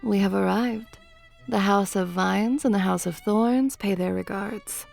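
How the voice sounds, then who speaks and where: A woman playing a dry, ceremonious vampire envoy in an audio drama, with a creaky voice. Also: mid-range voice, unhurried, a little mellow, soft background music. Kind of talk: cinematic audio roleplay acting.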